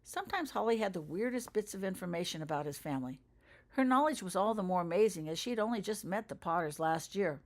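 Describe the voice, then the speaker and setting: A woman's voice: mid-range voice, expressive, quick pace. A woman reading her own fiction aloud as a scripted audiobook narration for younger listeners. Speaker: author reading own story.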